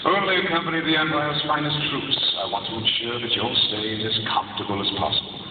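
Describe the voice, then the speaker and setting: A man's voice: medium pitch, steady tone, fast, noisy background, natural voice. A man playing a cold, menacing Imperial officer who makes coldly polite, sneering threats. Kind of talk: live stage audio drama. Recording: tinny, echoey arena from far off.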